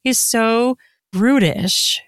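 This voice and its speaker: A woman's voice: a little high, drawn-out words, clear, resonant tone, no room sound. Chatty, emphatic woman, dry close sound. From United States. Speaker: podcast guest, erotica author. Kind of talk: casual interview chat.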